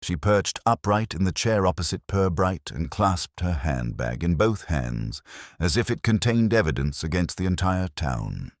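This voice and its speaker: A man reading a literary mystery story as narrator, in a measured, dryly wry manner. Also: sits low, sing-song, no room sound, studio quality.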